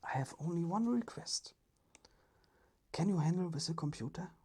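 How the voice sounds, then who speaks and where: A storyteller voicing a courteous, thoughtful older male professor in a breathy ASMR storybook whisper. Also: medium pitch, expressive, normal speed, warm tone, pitched above the performer's own voice.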